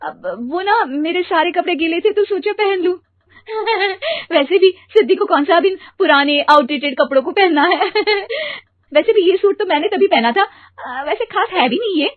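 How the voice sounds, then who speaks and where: Woman acting a stingy, giggly, high-pitched sister-in-law. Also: expressive, normal speed, no echo, narrow, tinny audio. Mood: giggly, evasive. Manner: exclaiming, giggly, mock-innocent. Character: stingy, gleeful, wheedling young Indian sister-in-law, shamelessly cheeky.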